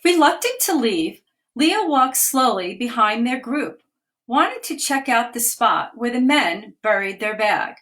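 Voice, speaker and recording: A woman, a children's author, reading her picture book aloud in a livestream with clear, expressive delivery and chatty asides. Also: a little high, unhurried. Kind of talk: children's storytime read-aloud. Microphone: webcam or phone for a livestream.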